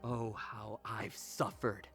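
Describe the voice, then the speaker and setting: Slow, cold, imperious young man. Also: lowish voice, little pitch movement, a bit bright, faint music behind. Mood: gloating, bitter. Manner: haughty, theatrical, contemptuous. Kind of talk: visual novel voice acting.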